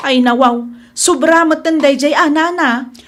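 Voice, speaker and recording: A woman's voice: sits high, measured pace, a bit bright. A woman with an Ilocano accent playing an insistent, aggrieved young boarder in a radio drama.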